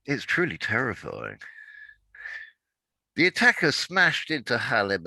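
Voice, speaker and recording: Bright-voiced man reading a dark story aloud. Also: fairly low pitch, no echo, poor audio. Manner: unpolished reading with stumbles and self-corrections. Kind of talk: dramatic bedtime horror story reading.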